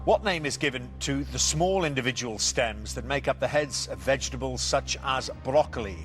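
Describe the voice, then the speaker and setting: A man's voice: medium pitch, fairly bright tone, soft background music. A man hosting a TV quiz show, reading out questions and bantering lightly with contestants. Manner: brisk, reads questions clearly, light banter.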